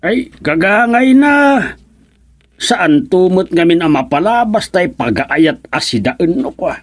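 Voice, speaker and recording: Older Ilocano father-in-law male actor, radio comedy serial: excitable, bossy, swooping delivery with a rough husky edge. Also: high voice, slow, heavily acted. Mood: animated. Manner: exclamatory, calling out orders.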